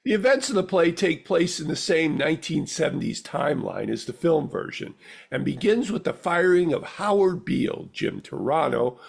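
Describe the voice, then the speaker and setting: A man with a gravelly, husky voice reading a scripted audio theater review as the critic. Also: a little high, big pitch swings, normal speed.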